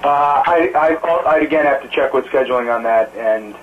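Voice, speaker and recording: A man's mid-pitched voice comes through a narrow, tinny phone line with faint noise. He is a spokesman fielding press questions, choosing words carefully and pausing often in a measured, halting delivery. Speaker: campaign spokesman. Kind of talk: press conference call Q&A. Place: telephone conference call.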